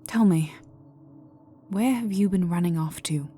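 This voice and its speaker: Woman acting a slow, whispery, haughty vampire noblewoman. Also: on the low side, lightly acted. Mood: guarded, disdainful. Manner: slow, condescending, teasing, often dropping to a whisper. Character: cold, haughty aristocratic female vampire, menacing and amused. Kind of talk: dark ASMR roleplay acting. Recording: voice over dark ambient music. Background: dark atmospheric music with resonant tones.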